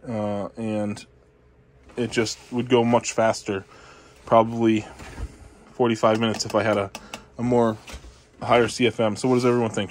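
A man's voice: lowish voice, darkish tone. A man casually reviewing his air compressor in a cluttered garage, filming on a handheld phone and rambling with lots of fillers and blunt, profane asides. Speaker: channel host reviewing tools. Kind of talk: casual off-the-cuff product review. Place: cluttered garage workshop, indoors. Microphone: handheld phone camera, first-person view.

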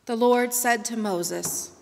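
A middle-aged woman reading scripture at a church lectern in a slow, measured way. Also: a little high, creaky, darkish tone, a little hiss or hum. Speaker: scripture reader. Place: large church sanctuary. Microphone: lectern microphone. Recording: long church reverb.

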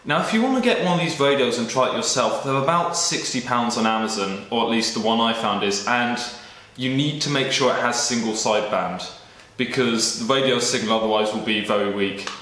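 A man's voice: medium pitch, brisk, hardly any pauses, sharp tone, echoey room. A young man presenting a hobby video in a casual, explanatory style to camera. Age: young adult. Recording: clear background noise, some outdoor ambience.

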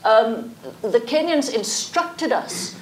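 A woman's voice: on the high side, animated, takes it slowly, echoey room, a little hiss or hum, rough recording. A middle-aged woman academic answering panel questions, hesitant, with frequent ums and self-corrections. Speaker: academic witness. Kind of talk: panel discussion. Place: lecture theatre.

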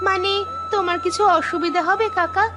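A woman's voice: extremely high pitch, steady tone, moderate pace, noisy background. A woman voicing an earnest, respectful, slightly anxious young village daughter in a cartoon. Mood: worried. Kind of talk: cartoon voice acting. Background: music.

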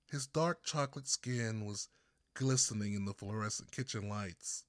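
A man from Texas reading his own first-person story as an audiobook narrator, with deliberate phrasing and pauses. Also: fairly low pitch, dry recording, clean studio sound. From Texas, United States.

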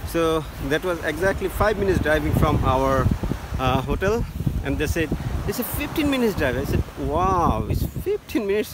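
A man giving halting travel tips from a beach, with many fillers and restarts. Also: fairly high pitch. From Bangladesh. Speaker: travel vlogger. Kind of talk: casual travel tips to camera. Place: outdoors on a sunny beach by the sea. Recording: loud wind noise on phone mic.

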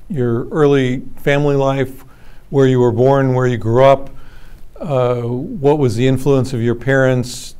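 A man's voice: mid-range voice, darkish tone, clear, resonant tone. A man interviewing a guest for an oral history, asking plain, orderly questions.

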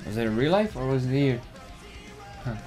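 A man's voice: medium pitch, expressive, moderate pace, a little mellow, soft background music. A young man casually commenting while playing a video game, speaking into a headset at home. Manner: laid-back, muttering remarks. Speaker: gamer streamer. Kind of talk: casual let's-play commentary.

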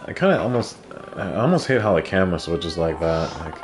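Deep-voiced man streaming a game. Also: sing-song, a little fast. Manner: joking asides, reads game text aloud. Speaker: gameplay streamer. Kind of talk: casual let's-play commentary. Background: game music.

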